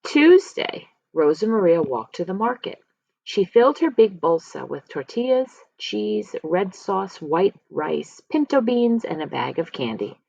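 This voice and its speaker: Very animated woman reading a picture book. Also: medium pitch, creaky, no room sound. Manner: expressive, dramatic pauses and emphasis. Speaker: read-aloud storyteller. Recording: slightly dull, lo-fi close recording.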